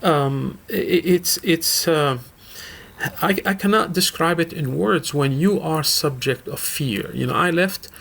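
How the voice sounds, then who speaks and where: Middle-aged man from Syria, gravelly, halting, earnest, restarts often. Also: fairly high pitch, fairly bright tone. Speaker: guest from a local Islamic center. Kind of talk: radio interview.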